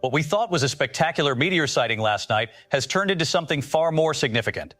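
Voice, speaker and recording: Radio drama news anchor, played by a man: quick, bright delivery, composed and formal. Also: fairly high pitch, expressive. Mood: composed, inquiring. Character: brisk, polished male television news anchor. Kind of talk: scripted broadcast news reading in audio drama.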